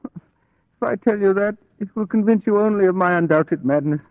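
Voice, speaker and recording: A man's voice: sits high, moderate pace, no room sound. A man playing a desperately earnest, courtly madman in an old-time radio play, imploring in formal, precise speech. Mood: despairing dignity. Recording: dry, narrow-band vintage radio recording.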